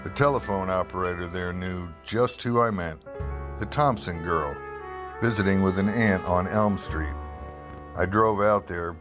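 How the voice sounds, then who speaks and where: Man acting hard-boiled newspaper reporter, low voice, dry, clipped, world-weary, radio detective drama, music behind. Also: unhurried. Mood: wry narrating. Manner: clipped, wry, matter-of-fact.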